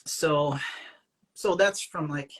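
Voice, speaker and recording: Brief: man with a French Canadian accent, bright and a little husky, casual anecdote-teller. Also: a little high, takes it slowly. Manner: animated, acts out quoted lines, many fillers. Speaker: guest, aspiring actor. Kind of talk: casual storytelling.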